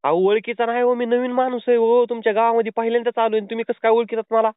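A man's voice: very high voice, little pitch movement, very fast, smooth, dry recording. A man making a prank phone call, posing as a delivery man, insistent and pleading. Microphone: phone line. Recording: tinny phone call recording.

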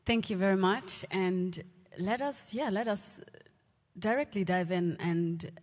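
A woman's voice: medium pitch, unhurried. A woman moderating a panel discussion on stage, asking the audience playful questions into a handheld mic. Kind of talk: moderating a roundtable, polling the audience. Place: large lecture hall stage. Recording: reverberant large hall.

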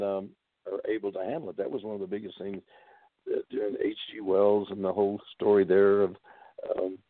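A man guest on a talk show, losing his voice and speaking haltingly with many fillers. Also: lowish voice, dry recording, phone-quality sound. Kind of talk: casual talk show chat.

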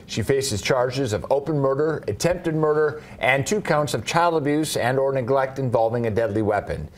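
A man's voice: mid-range voice, moderate pace. An older man reading scripted news as an anchor in a TV studio.

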